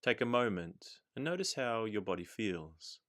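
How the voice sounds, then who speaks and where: Low-pitched man guiding a meditation. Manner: calm, soothing, measured, with gentle instructions. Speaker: meditation guide. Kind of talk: guided meditation narration.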